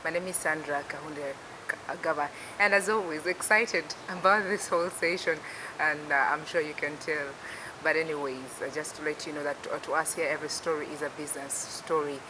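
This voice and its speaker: A woman's voice: deep voice, fairly quick. A woman hosting a TV business interview show, chatty and upbeat, with many fillers. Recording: noisy recording with steady hiss.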